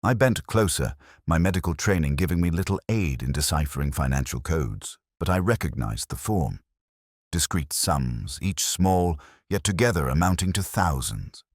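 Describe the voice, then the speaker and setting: A man with a very deep voice narrates a detective story in the first person. His reading moves up and down with lively intonation, and the sound is dry and close. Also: normal speed, no room sound. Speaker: audiobook narrator.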